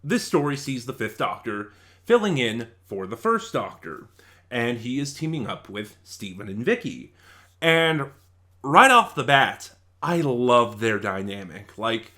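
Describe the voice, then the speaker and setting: A man's voice: medium pitch, sharp tone. A man reviewing in a casual, unscripted way, rambling and often losing his thread and correcting himself. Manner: rambling, scatterbrained, self-correcting.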